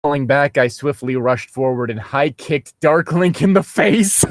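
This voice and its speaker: A man's voice: a little high, expressive, measured pace, no room sound, clean studio sound. A young man mockingly reading fan fiction aloud and breaking off for sarcastic, sweary commentary. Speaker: reader and co-host.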